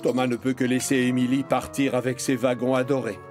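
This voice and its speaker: A man narrating a scripted children's cartoon as a storyteller, with expressive phrasing. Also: medium pitch, brisk. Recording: music behind the voice.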